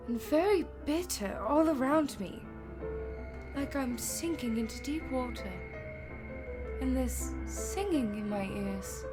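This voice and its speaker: An actress playing a frail young woman under a spell in a radio horror drama, speaking in a halting, dreamy way. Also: high voice. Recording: loud music behind the voice.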